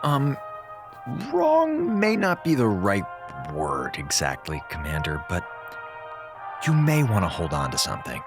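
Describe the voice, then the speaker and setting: Flippant space officer, very animated. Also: male voice, low voice, quick pace, vocal fry, few pauses, over music, exaggerated voice. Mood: sheepish. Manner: colloquial, hesitant, flippant. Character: laid-back, wisecracking male space communications officer. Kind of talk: audio drama acting.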